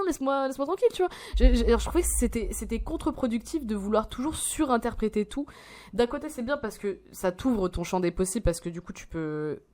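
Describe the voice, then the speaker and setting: A woman's voice: medium pitch, a little mellow. A woman in her twenties, rambling and swearing as she reacts to a video in casual slang. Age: 20s.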